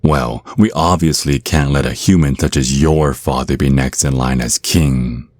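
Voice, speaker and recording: A man's voice: rumbling low, measured pace, a little mellow, no room sound. A man voicing a menacing, centuries-old vampire naga in an ASMR roleplay, drawling mock-sweet threats. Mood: calculating.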